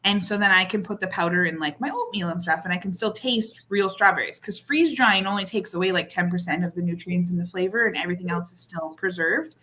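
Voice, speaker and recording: A woman in her thirties chatting casually and playfully as a co-host on a live group show, talking in a lively, unscripted way. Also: lowish voice, quick pace, hardly any pauses. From lives in North America near the Canadian border. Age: 30s.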